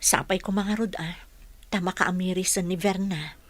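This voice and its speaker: A woman's voice: on the low side, fairly even intonation, moderate pace, dry recording, natural voice. A Filipino woman playing a caring, practical young working woman, an elder sister, in a radio drama. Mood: earnest.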